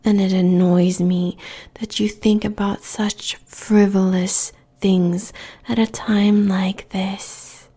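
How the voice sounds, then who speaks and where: A woman playing a seductive, possessive demon queen succubus in an intimate roleplay monologue, whispering breathily with deliberate, sensual pauses. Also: medium pitch, flat delivery, mellow, breathy edge. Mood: disdainful, possessive.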